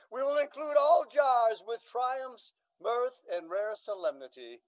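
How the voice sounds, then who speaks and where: Man playing a high-voiced, stately, slow Duke. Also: expressive, full character voice, poor audio. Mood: gracious. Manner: stately, declamatory. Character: dignified, magnanimous noble Duke, an indulgent father. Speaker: amateur stage actor. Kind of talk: Shakespearean radio drama acting.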